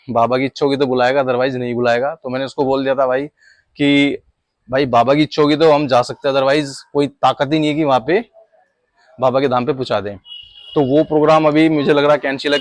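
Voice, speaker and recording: A man from India talks in a casual vlog style. His voice sits at a medium pitch, and he addresses the viewer familiarly, like a brother. The sound is dry, with little room echo. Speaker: motorcycle travel vlogger.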